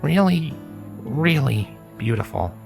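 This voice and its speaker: A man's voice: medium pitch, sing-song, slow pace, creaky, soft background music, pitched above the performer's own voice, full character voice. A man voicing a timid, easily flustered young male pony with a hesitant, stammering delivery in a story reading. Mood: awestruck, stammering.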